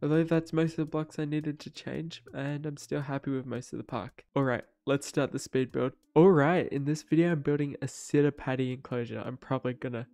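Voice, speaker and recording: Young aussie dude with a stuffy cold nose, rambly casual voiceover, medium pitch, some faint music under it. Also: male voice, steady tone, brisk, creaky, hardly any pauses, warm tone, no room sound. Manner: rambling, many fillers and restarts. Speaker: Minecraft builder narrating his speedbuild. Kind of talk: casual voice-over commentary.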